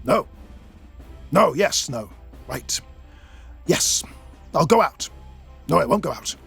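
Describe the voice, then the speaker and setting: Performer playing a dithering, pompous Emperor, wildly animated pitch. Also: slow pace, vocal fry, husky, soft background music, heavily acted. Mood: dithering, flustered. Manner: dithering, blustering, snappy. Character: pompous, dithering, vain male Emperor, easily flustered. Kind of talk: satirical comic audio play.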